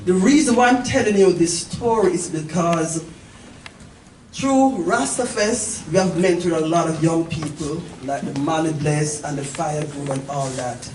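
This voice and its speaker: A middle-aged woman, telling a story informally and emphatically to a gathering as its host, repeating phrases and checking in with listeners. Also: low voice. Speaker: event organizer and host. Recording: echoey, distant mic, noisy live gathering.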